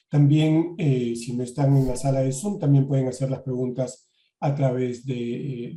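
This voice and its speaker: A man moderating an online academic seminar, speaking in a measured, polite way with frequent pauses. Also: medium pitch, warm tone, reverberant. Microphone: video call.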